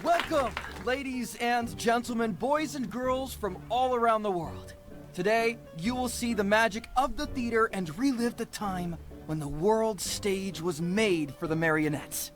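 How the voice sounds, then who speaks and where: An actress playing a puppet-show announcer in a video game, giving an inviting, declamatory welcome speech. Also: female voice, fairly high pitch, unhurried, faint music behind, close to an everyday voice.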